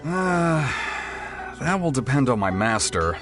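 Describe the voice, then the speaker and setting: A young blacksmith's apprentice from a video game. He sounds polite, overworked and hesitant, medium in pitch with lively intonation, and music plays behind him. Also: takes it slowly. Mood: apologetic. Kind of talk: scripted video game voice acting.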